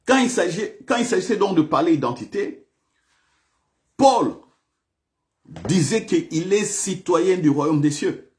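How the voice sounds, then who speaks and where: A man's voice: sits high, animated, a little slow, pauses often, a little mellow. A male pastor preaching a sermon in a church, repeating questions to the congregation with big swings of emphasis.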